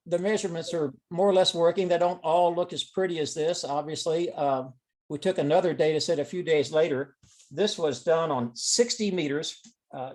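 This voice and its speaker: A man's voice: a little high, darkish tone. A man giving an informal technical presentation on a conference call, explaining things step by step. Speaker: presenter of experimental data. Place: online teleconference call.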